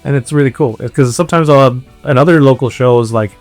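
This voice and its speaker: A man's voice: medium pitch, a little fast, faint music behind. A man, an indie band musician, chatting casually and jokingly in an interview. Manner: joking, banter with bandmate.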